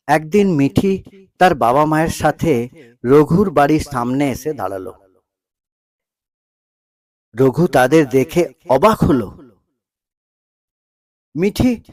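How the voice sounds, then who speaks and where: A man with a fairly high voice tells a story slowly and emotively. He breaks it into short, dramatic phrases with pauses between them. Music plays softly behind him. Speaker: storyteller, narrator. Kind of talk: dramatic scripted story narration in Bengali.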